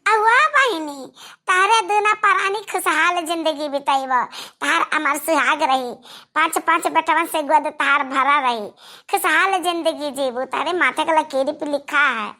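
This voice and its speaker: A woman's voice: high-pitched, a little slow, sharp tone. A woman voicing a melodramatic, scheming rustic village woman in an Awadhi-accented comedy cartoon. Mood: grandly prophetic.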